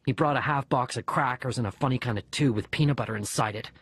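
A man narrates a first-person children's story. His voice is husky and mid-pitched. The recording is dry, with music underneath. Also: quick pace, fairly bright tone, no room sound. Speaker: audiobook reader.

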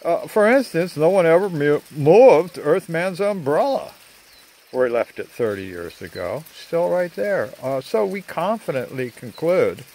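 An older man narrating a rambling video outdoors among trees, talking in a halting way with many fillers, recorded on a handheld phone. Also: a little high, big pitch swings, a little mellow. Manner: slow, halting, full of uh fillers. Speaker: vlogger. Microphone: phone at arm's length.

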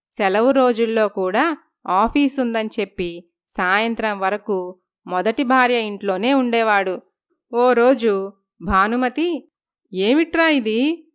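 Clear-voiced woman narrating a story. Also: medium pitch, fairly bright tone. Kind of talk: scripted storytelling.

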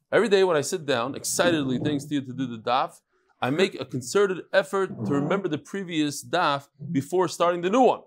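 A middle-aged man teaching a daily Talmud class, reading emails aloud with lively asides to the room and Hebrew and Yiddish terms. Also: on the high side. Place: large study hall with wood paneling.

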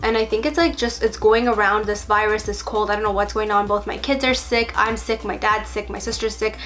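A young woman with a stuffy cold talks casually through a makeup tutorial in her bedroom, chatty and full of fillers, softer when focused on applying makeup. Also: mid-range voice, fairly even intonation, brisk. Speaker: beauty vlogger. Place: bedroom at home.

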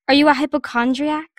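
A woman's voice: high voice, no echo, clean studio sound. A woman playing a sarcastic, impatient young girl in an audio drama, sassy and exasperated.

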